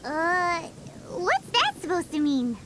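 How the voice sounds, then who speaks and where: Super high squeaky little fairy sidekick, cheeky, wildly swoopy, loud rain behind. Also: female voice, wildly swooping pitch, slow, very noisy, heavily acted. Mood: sheepish, indignant. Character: tiny childlike flying fairy companion, cheeky, indignant. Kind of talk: video game character acting.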